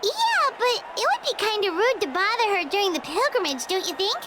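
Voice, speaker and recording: A woman voicing a tiny, chirpy fairy companion in a video game, with a squeaky, childlike, cartoonish voice. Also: very animated, brisk, heavy background noise. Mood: curious, hesitant. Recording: loud music behind the voice.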